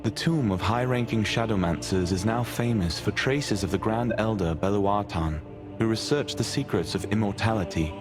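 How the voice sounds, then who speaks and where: An actor playing an earnest, studious mage investigator in a video game, speaking in a thoughtful, articulate way. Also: male voice, fairly low pitch, normal speed, music in the background, natural voice. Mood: grave, explanatory.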